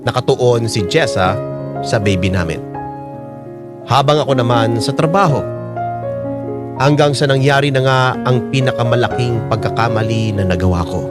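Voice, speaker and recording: A male actor plays an unfaithful young husband, full of remorse yet quick to defend himself. His mid-range voice has a Filipino accent and sits over a clear music bed, in radio drama style. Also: mid-range voice, lightly acted. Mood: regretful.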